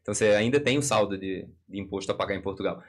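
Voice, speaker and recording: Low-voiced Brazilian man explaining. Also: very fast. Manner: didactic, works through hypothetical examples. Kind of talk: live discussion, explanatory.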